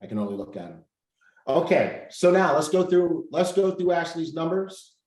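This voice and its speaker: A man's voice: fairly high pitch, very animated, moderate pace, a little mellow, roomy sound. A man hosting a casual group coaching call over video, upbeat, thinking aloud and reading out figures. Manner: upbeat, reads out numbers, thinks aloud while clicking.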